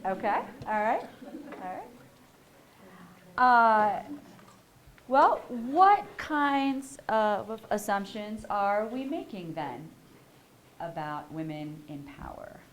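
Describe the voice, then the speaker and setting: A woman giving a university lecture, animated and asking the audience questions as she goes. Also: mid-range voice, slow, pauses often, slight background noise. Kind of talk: public lecture. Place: lecture hall.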